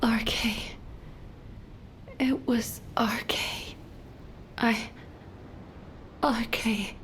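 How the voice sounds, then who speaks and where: A woman playing a weakened, loyal captive soldier in a video game, in breathy, halting, whispered phrases. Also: a little high, fairly even intonation, fairly bright tone. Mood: weak, urgent. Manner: halting, faint, broken phrases. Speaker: video game character actor. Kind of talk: dramatic video game cutscene acting.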